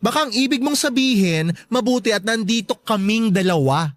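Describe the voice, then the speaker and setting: Sassy, animated campy best friend acted by a woman. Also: on the high side, brisk, no echo, lightly acted. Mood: mocking. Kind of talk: Tagalog radio drama acting.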